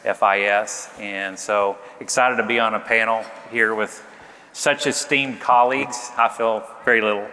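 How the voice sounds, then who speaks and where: A man's voice: fairly low pitch, fairly even intonation, unhurried, bright, forceful tone. A man with a Southern Arkansas accent speaking easily on a conference panel, with many fillers and a modest tone. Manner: easygoing, self-deprecating, many fillers. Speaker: panelist, sales manager. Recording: reverberant conference hall.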